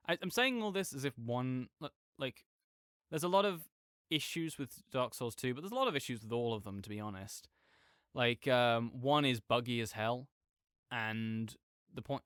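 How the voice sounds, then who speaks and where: A man's voice: mid-range voice, a bit bright, clear, resonant tone, no echo, studio quality, lightly acted. A man playing a chatty, nerdy roommate who rambles enthusiastically about a video game in a casual, digressive audio roleplay monologue.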